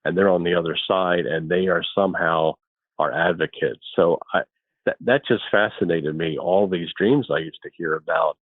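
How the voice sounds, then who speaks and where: Deep-voiced man on a tinny call line. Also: moderate pace, no room sound. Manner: thoughtful, repeats words as he starts a thought. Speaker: guest. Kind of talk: relaxed conversational interview. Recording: tinny phone-like line, dry.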